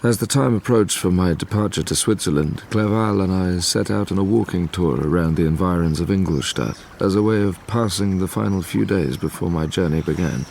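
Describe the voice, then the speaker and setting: An actor playing a haunted young gentleman scientist, narrating his past gravely in an audio drama. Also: low-pitched, slight background noise. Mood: sombre recollection. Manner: grave, literary, measured.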